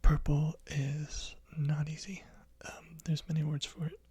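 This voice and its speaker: A teacher giving a whispered ASMR lesson, softly repeating each word many times in a breathy, husky whisper. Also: mid-range voice, flat delivery, measured pace, no echo. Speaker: language teacher.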